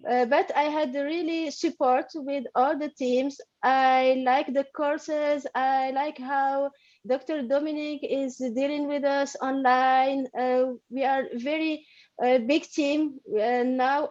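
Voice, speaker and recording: A middle-aged pediatrician from Algeria, speaking English with a French accent over a video call, halting with many fillers. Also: female voice, sits high, fairly even intonation, a little mellow. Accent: French-influenced English. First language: not English; studied in French. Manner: halting, many fillers and restarts, laughs often. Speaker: pediatrician and course student. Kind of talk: webinar interview. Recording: dull lo-fi call audio.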